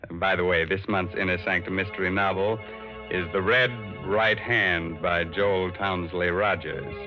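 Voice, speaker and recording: A ghoulish host of a 1940s radio horror show, sardonic and theatrical. The voice sits low over background music. The recording is dull and vintage, and the delivery relishes every morbid word. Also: male voice, steady tone, measured pace. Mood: gleefully macabre. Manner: tongue-in-cheek, macabre, theatrical.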